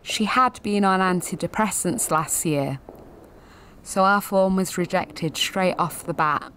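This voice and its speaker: A woman's voice: fairly low pitch, unhurried, fairly bright tone, slight background noise. An actress in her twenties playing a jaded, sweary, sensitive gallery attendant in a confessional audio drama monologue, wry and self-deprecating. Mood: bitter, resigned. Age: 20s.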